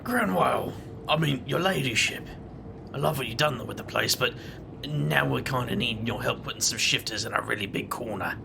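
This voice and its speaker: A man with a gravelly voice playing a wry hero in a tabletop roleplay, dry and joking, narrating his own moves. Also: medium pitch, moderate pace, noisy background. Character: wry young man, a magic-wielder.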